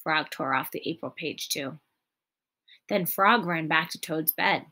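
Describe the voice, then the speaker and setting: Young woman storyteller, lowish pitch, very unhurried, deliberate read-aloud for children. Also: bright, forceful tone. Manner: deliberate, clearly phrased for children.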